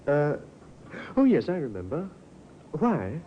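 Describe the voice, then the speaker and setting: A man's voice: a little high, wildly swooping pitch, slow pace, heavily acted. An actor playing a polite, pliable and hesitant young clergyman in a 1950s radio drama. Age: young adult. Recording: muffled, noisy old radio recording.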